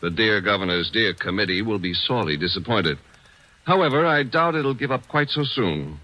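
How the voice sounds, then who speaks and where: A suave, low-voiced crime boss. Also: male voice, animated, moderate pace, pushed and bright, rough recording. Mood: silky. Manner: smooth, urbane, sardonic. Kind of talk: 1940s radio acting.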